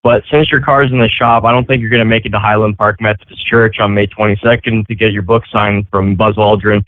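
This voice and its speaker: A man prank-calling a radio show, calm and deadpan as he mocks the host. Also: lowish voice, brisk, no room sound. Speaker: prank caller. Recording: dry tinny phone line.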